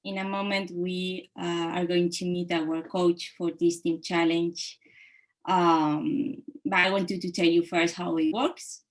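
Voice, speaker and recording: Woman host, unhurried delivery, explains how the session works. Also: on the low side, flat delivery, low-quality recording. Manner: slow, with frequent fillers. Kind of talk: introducing a video-call session.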